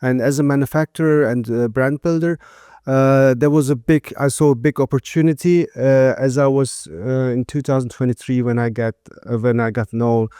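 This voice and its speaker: A middle-aged man born in Germany, speaking English as a non-native with frequent pauses and fillers. His voice is smooth and resonant. It is recorded close and dry, with no room sound. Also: medium pitch, fairly even intonation, warm tone, dry recording. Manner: halting, many uh fillers. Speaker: guest, textile manufacturer. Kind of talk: casual interview answers.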